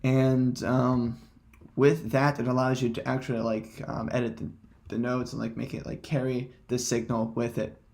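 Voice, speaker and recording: Man, gravelly creak, casual tutorial presenter, hesitant phrasing, unscripted. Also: medium pitch, little pitch movement, moderate pace, a little mellow, a little hiss or hum.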